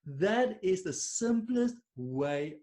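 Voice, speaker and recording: A man's voice: sits high, sing-song, very slow, warm tone. A man with an Afrikaans South African accent presenting a webinar on a video call, chatty and self-deprecating, with many fillers and restarts. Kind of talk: online webinar talk to a silent audience.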